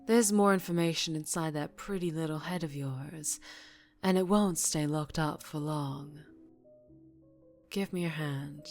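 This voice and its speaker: A woman playing a dangerously charming female mafia boss in an ASMR roleplay, teasing and condescending with quiet, possessive menace. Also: low-pitched, unhurried, faint music behind. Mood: teasing, probing.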